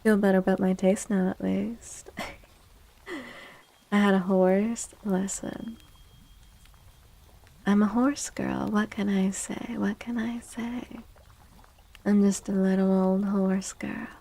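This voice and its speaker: A woman speaks softly and close to the microphone as an ASMR live streamer. Her voice is dark and relaxed, with plenty of gentle creak, and she often slips into whispers. She takes her time, repeating phrases in an unhurried, gentle chat. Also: mid-range voice. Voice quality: soft, breathy, often slipping into whispers. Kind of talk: soft-spoken ASMR chat with live viewers.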